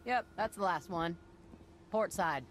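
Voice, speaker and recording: A woman's voice: lowish voice, unhurried, noisy background, close to an everyday voice. A woman playing a dry, no-nonsense shipwright in a video game, casual and unimpressed. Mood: wry, suspicious. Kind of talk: cinematic game acting.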